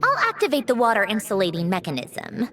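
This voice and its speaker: A woman voicing a proud, wry young woman in a video game, brusque and matter-of-fact but quietly sentimental. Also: mid-range voice, wildly swooping pitch, brisk. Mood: brisk. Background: music.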